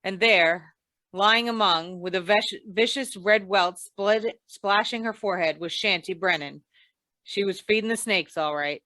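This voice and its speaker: A woman's voice: mid-range voice, unhurried, pushed and bright, dry recording. A woman playing a wry, hard-boiled insurance investigator in an old-time radio drama, with dry, tough delivery. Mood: wry, tense narration.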